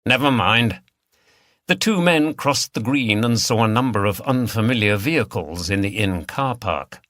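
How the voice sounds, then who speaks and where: A man's voice: on the low side, lively intonation, moderate pace, fairly bright tone, dry recording, clean studio sound. A man reading scripted mystery fiction as an audiobook narrator.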